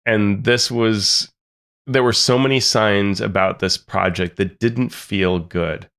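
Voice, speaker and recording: A man's voice: fairly low pitch, steady tone, takes it slowly, fairly bright tone, clear, resonant tone. A man co-hosting a podcast, talking earnestly and critically with frequent restarts. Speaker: podcast co-host. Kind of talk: casual discussion.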